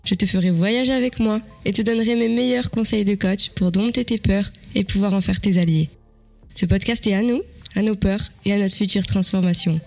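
A woman host reading a calm, scripted podcast introduction and speaking straight to the listener. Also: mid-range voice, a little fast, vocal fry. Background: soft music.

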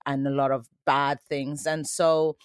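A woman's voice: a bass voice, flat delivery, unhurried, clean tone, no room sound, clean studio sound. Earnest reflective woman in her forties. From Sweden. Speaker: dance teacher guest. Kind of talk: interview answers.

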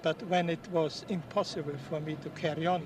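An elderly man in his seventies, born in Austria, recalls his past in an earnest, halting way. His voice sits a little high and is picked up from some distance outdoors, with clear street noise around him. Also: little pitch movement. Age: 70s. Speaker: war veteran interviewee. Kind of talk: outdoor interview, recounting memories. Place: outdoors at a public ceremony. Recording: distant mic, noisy outdoors.

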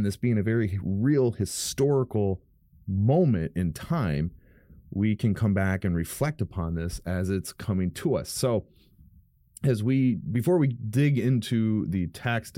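A man with a very low, smooth voice hosts his church podcast from a home studio. His tone is dark and mellow, and the close, dry mic leaves no room sound. He talks frankly and rambles a little, often restarting his sentences. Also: unhurried, no room sound. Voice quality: fairly deep, smooth voice. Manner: rambling, frank, self-correcting with many restarts. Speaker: pastor and podcast host. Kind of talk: casual podcast monologue. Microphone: studio microphone.

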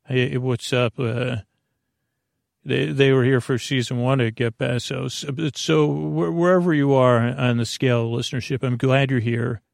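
Male sleep-podcast host, gravelly and dry-miked, drifting through halting, digressive bedtime rambles. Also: medium pitch, fairly even intonation, no echo. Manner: meandering, halting, digressive, self-interrupting. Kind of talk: rambling bedtime monologue to lull listeners to sleep.

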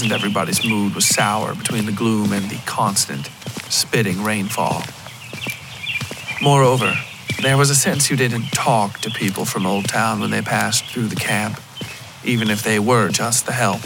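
Low, gravelly man narrating dark fiction. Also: noisy background. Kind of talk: scripted first-person dark fiction narration.

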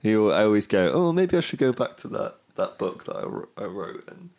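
A man's voice: lowish voice, sing-song, a little fast, darkish tone. A man interviewing casually, thinking aloud in an informal, rambling way.

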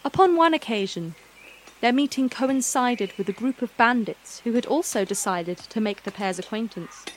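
A woman narrating a scripted fantasy story in a measured, dramatic storytelling manner. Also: a little high, animated, slight background noise, low-quality recording.

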